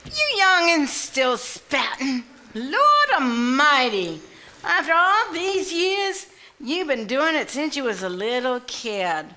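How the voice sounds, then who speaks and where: Exasperated older woman, folksy, high voice, unhurried pace, theatrical stage drama. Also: very animated, pushed and bright, exaggerated voice. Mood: exasperated scolding. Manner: folksy country speech. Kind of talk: live stage acting.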